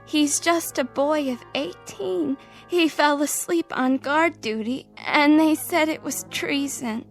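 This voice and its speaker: A humble, desperate old grandmother in a radio drama. Her voice is high and slow, full of emotional pleading, over faint music. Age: older.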